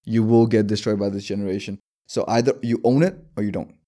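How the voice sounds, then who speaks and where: Lower-voiced young man explaining. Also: fairly even intonation, fast talker, mellow. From Denmark. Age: 20s. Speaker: guest, startup founder. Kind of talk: explaining in an interview.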